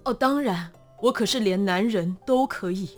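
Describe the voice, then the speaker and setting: A woman's voice: mid-range voice, normal speed, faint music behind. A woman with a Taiwanese Mandarin accent chatting as an interview guest in a playful, theatrical manner. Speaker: guest, voice actor. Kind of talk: lively interview chat.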